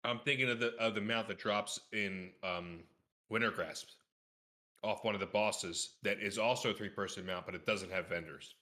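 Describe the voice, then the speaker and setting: A man's voice: lowish voice, fairly even intonation, normal speed, bright, forceful tone. A man in a casual gaming podcast conversation, explaining details in an informal, knowledgeable way. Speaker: podcast guest.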